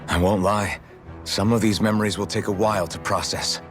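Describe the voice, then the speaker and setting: A man's voice: lowish voice, steady tone, brisk, lightly acted. A man voicing a troubled but determined young hero in a game, speaking in an earnest, brooding manner. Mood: solemn, resolute. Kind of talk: dubbed anime-style game voice acting. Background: prominent background music.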